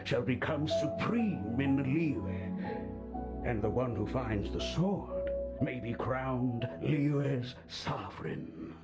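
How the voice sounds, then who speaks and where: An actor playing a pompous, boastful male historian in a game, grandstanding and quick to take offense. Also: medium pitch, animated, loud music behind the voice. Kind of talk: video game voice acting.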